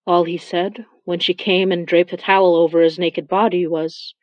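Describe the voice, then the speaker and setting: A woman's voice: fairly low pitch, level tone, moderate pace, no room sound, highs cut off, close to an everyday voice. A woman playing a weary, anxious young woman, speaking in a hesitant, confiding way in an intimate audio drama. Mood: somber, reflective.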